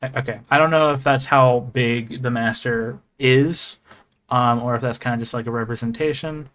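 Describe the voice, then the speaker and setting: A man giving casual reaction commentary in a halting way, often repeating himself and mildly swearing. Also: medium pitch, fairly even intonation, a bit bright. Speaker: reactor, video creator.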